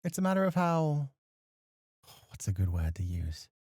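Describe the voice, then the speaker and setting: Man acting a mellow, refined, teasing club host. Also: a little high, big pitch swings, normal speed, no room sound, clean studio sound. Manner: formal, gently chiding, playful. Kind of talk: flirty ASMR roleplay acting.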